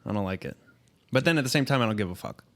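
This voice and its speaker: Man, mid twenties, medium pitch, laid-back podcast chat, slangy, sweary, informal. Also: expressive, rapid-fire. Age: mid 20s. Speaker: podcast co-host. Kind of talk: casual chat.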